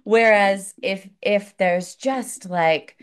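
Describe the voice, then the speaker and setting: A woman's voice: medium pitch, very slow, smooth. A woman who is an actor, chatting casually and telling stories, acting out what people said. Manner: animated storyteller, acts out quotes. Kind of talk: casual chat.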